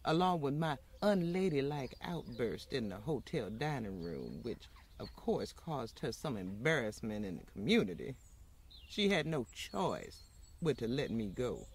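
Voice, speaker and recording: A woman playing an outspoken, unapologetic former maid, telling her story in a folksy, plainspoken way with dramatic pauses, in old-time radio style. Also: extremely low pitch, sing-song, a little mellow, slight background noise, heavily acted. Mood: wry, satisfied.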